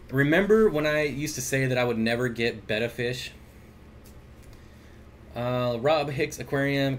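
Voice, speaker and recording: A man hosts a home aquarium livestream from his desk mic, chatting loosely and reading out viewer comments between jokey asides. His voice sits in a middle pitch, and a faint hum of room noise runs underneath. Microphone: desk mic at computer.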